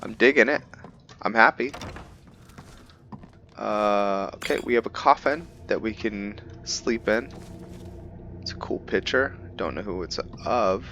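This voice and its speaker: A man with a lowish voice talks slowly and easily over his gameplay, thinking out loud with loose fillers. His voice comes through a dull, lo-fi mic. A faint hiss sits underneath. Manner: relaxed, musing aloud with fillers. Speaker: gaming video host. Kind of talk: casual game commentary.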